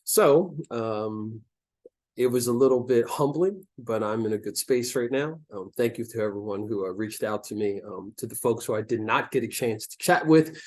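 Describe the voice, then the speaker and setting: A man's voice: mid-range voice, moderate pace, a little mellow. American male host, slightly crackly voice. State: slightly crackly voice after losing it. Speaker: host of a local talk show. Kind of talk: talk show monologue to the audience.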